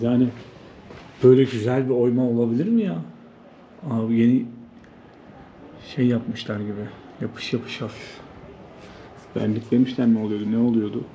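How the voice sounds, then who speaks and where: Male travel vlogger, mellow tone, informal and enthusiastic, outdoor recording with clear ambient noise. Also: medium pitch, low-quality recording. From Turkey. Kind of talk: casual chat to camera while walking around. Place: walking around an old town outdoors.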